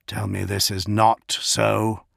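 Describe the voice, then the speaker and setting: A man voicing a brooding, proud warlord in an audiobook, speaking in low, terse, growling commands. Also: flat delivery, slow pace, gravelly, a bit bright, dry recording, studio quality, heavily acted. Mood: grim. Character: brooding male warlord primarch, proud, secretive, quietly wrathful. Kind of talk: dramatic audiobook character.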